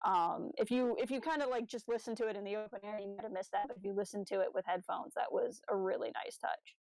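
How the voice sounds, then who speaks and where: A woman's voice: medium pitch, a little mellow, dry recording, rough recording. Young woman chatting casually, dry close sound. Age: young adult. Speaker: podcast co-host. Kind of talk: casual podcast discussion.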